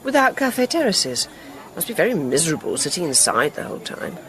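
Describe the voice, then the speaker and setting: A woman playing an inspector's prim, teasing middle-aged wife in a radio drama, gently disapproving and knowing. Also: low voice, big pitch swings, brisk, noisy background. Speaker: actor in a supporting role. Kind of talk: naturalistic radio drama acting.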